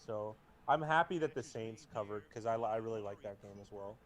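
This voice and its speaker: A young man with a booming voice, co-hosting a live football watch stream in rambling casual chat, mic clipped to his jacket. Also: fairly quick. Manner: rambling, talkative, full of restarts and fillers. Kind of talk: casual chat and game commentary. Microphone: jacket used as mic mount.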